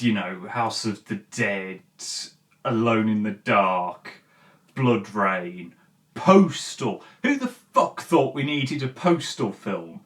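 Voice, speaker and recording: Blunt, sweary man giving casual film commentary. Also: medium pitch. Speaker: host of a film commentary show.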